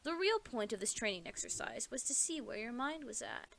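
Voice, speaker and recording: A woman's voice: a little high, sing-song, brisk, vocal fry, fairly bright tone, slight background noise, full character voice. A woman playing a composed male mentor in a cartoon-style audio play, politely chiding and instructing with a teasing manner.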